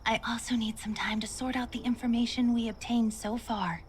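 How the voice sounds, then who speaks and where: An actress playing a gentle, troubled woman researcher in a video game, with a soft, breathy, hesitant, thoughtful delivery. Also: a little high, level tone, normal speed, a little hiss or hum, close to an everyday voice. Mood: gentle, composed. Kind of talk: scripted video game dialogue.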